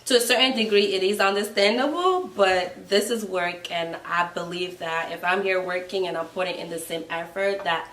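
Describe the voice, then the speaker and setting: A woman's voice: fairly low pitch, normal speed, few pauses, fairly bright tone, a little hiss or hum. A woman in her twenties with a Ghanaian accent, a guest giving earnest advice in a casual conversation. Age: 20s. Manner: earnest, advising. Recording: roomy, mic at a distance.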